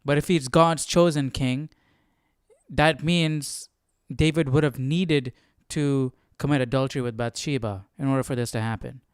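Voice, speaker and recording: A man's voice: medium pitch, fairly even intonation, normal speed, clean tone, no echo, studio quality. A man hosting a livestreamed study session, giving casual, reflective commentary and questioning things as he thinks aloud. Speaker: Bible study livestream host.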